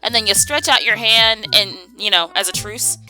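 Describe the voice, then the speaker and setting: A woman's voice: mid-range voice, normal speed, sharp tone, faint music behind, low-quality recording. A woman in a casual joking chat, playful, slangy and teasing as she co-hosts a review. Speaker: co-host of a fan review video.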